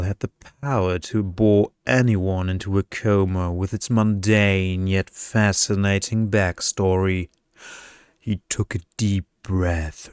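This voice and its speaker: Male ASMR narrator needed: smooth low tone, unhurried measured reading of a bedtime story, very dry sound. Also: darkish tone, no room sound.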